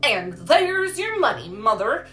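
A woman voicing a hungry, sturdy young boy in a storybook, indignant and plaintive, with drawn-out, halting delivery. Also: fairly high pitch, sing-song, a bit bright, faint music behind, pitched above the performer's own voice, heavily acted. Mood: indignant, proud.

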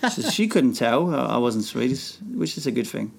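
A man's voice: medium pitch, moderate pace, gravelly, breathy edge. A man from Denmark, a native Danish speaker with a blended English and slightly Australian accent, chatting casually and rambling as an interview guest. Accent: blended English with Australian tinge. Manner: relaxed, rambling with restarts. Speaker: speedway rider guest.

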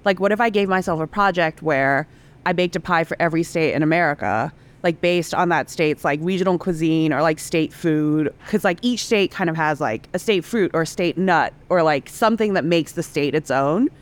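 Woman in her thirties, smooth clear voice, chatty podcast guest, lots of "like" fillers, laughs easily. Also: sits low, normal speed. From Singapore, raised in Indonesia and Hong Kong. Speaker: guest, cookbook author. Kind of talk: casual podcast chat.

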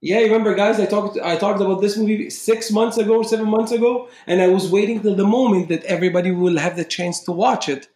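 A man's voice: sits high, fairly even intonation, quick pace, few pauses, darkish tone. Earnest man, roomy sound. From Egypt. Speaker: podcast co-host. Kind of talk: casual chat.

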